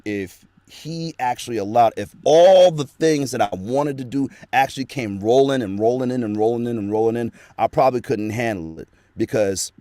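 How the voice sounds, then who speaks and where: A man's voice: mid-range voice, moderate pace, hardly any pauses, warm tone, no echo. A man who works as a voice actor, talking earnestly and at length as a guest in a casual interview, recorded in a small home studio. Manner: earnest, rambling storytelling.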